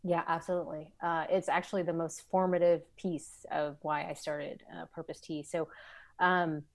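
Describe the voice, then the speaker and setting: A woman's voice: sits low, level tone, normal speed, no echo. A woman in her forties, a company founder, talking earnestly in an interview with many fillers. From Vietnam, raised in the United States. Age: 40s. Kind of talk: conversational interview.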